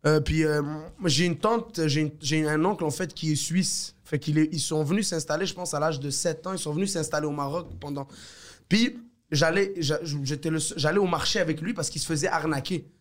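A man's voice: on the high side, fairly quick. Laid-back Moroccan-accented comedian, man. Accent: Moroccan French. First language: Arabic. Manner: laid-back, upbeat, peppers speech with "bro" and "tu comprends". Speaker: comedian guest. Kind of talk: casual chat.

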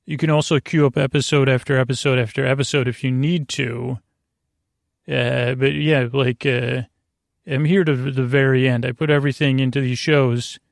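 Creaky-voiced man, lulling bedtime podcast host. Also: medium pitch, fairly even intonation, dry recording, clean studio sound. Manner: lulling, meandering, hesitant, halting. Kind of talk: rambling bedtime sleep podcast monologue.

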